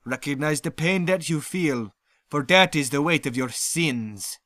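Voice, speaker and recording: A man's voice: on the high side, animated, measured pace, roomy sound. A man playing a vengeful ancient tomb keeper in an anime fandub, speaking in a grave, formal, portentous way. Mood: solemn menace. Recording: loud music behind the voice.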